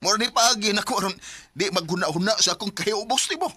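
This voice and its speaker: A man's voice: high voice, fast talker, close to an everyday voice. A man acting a resentful, hurt young husband in a Filipino radio drama, tense and wounded, with a Cebuano accent.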